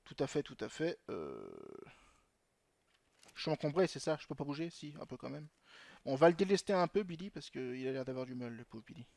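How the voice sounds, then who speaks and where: A man's voice: mid-range voice, very animated, fairly quick, creaky, a little mellow, no room sound. A young man commenting on his gameplay in a chatty way, swearing often and talking to himself. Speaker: gaming channel host.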